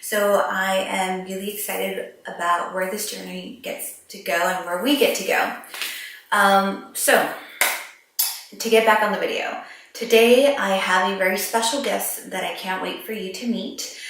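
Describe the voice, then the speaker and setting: A woman's voice: medium pitch, fairly even intonation, unhurried, a bit bright, slight background noise. A woman hosting a casual interview show, bubbly and gushing, repeating words for emphasis. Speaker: host. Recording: very echoey, distant from the mic.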